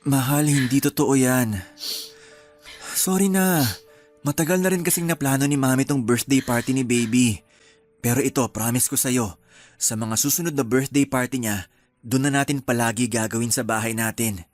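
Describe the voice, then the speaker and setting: A man doing an apologetic young husband, gentle, soothing, mid-pitched, music under. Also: moderate pace, pauses often, close to an everyday voice. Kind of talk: radio drama acting.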